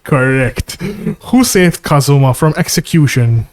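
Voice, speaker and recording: A man's voice: mid-range voice, animated, measured pace. A man with a Maltese accent co-hosting a podcast in casual, slangy chat, often repeating himself for emphasis. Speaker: podcast co-host.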